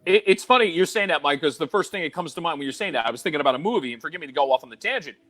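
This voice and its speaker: A man co-hosting a casual podcast, telling stories in an animated way and quick to joke. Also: on the high side, a crowd in the background. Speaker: podcast co-host, DJ. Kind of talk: casual chat.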